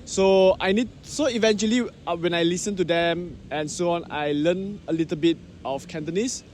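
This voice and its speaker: A man's voice: high voice, moderate pace, a little mellow, slight background noise. A man from Malaysia answering a street interviewer in simple phrases, often closing with "so yeah".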